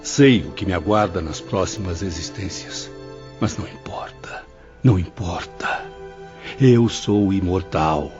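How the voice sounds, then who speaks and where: A low-pitched, solemn male professor declaims with earnest fervour, like a repentant spirit in a radio play. The recording is slightly dull, with music playing underneath. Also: measured pace, darkish tone. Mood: resolute. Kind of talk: scripted audio drama acting.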